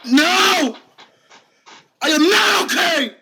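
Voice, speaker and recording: A bright, high-pitched middle-aged man at the end of his rope, yelling and breaking into sobs, as a man acts him out. He drags his words slowly, shouting through tears in an amateur drama. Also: a little hiss or hum, exaggerated voice. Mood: angry. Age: 50s. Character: bullied fifty-year-old man, desperate and tearful.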